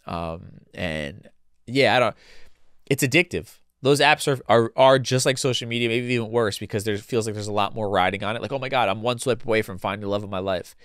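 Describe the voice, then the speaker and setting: A male podcast host, speaking casually and bluntly, a bit congested and hoarse from a cold. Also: on the low side, brisk, a bit bright, no room sound, studio quality. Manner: blunt and casual, swears freely, many restarts. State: congested with a cold, losing his voice. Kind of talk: solo opinion commentary.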